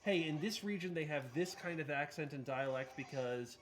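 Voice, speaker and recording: A man's voice: a little high, moderate pace, a bit bright, soft background music. A man co-hosting a charity gaming stream, telling a long story in an easy, explaining way. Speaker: marathon co-host. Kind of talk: casual chat, telling a story.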